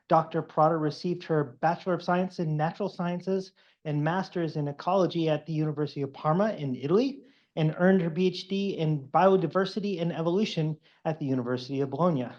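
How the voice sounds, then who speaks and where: A man hosting a seminar, reading a formal introduction of the guest speaker in a warm, formal manner. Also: on the high side. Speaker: faculty host introducing the speaker. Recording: dull lo-fi lecture recording.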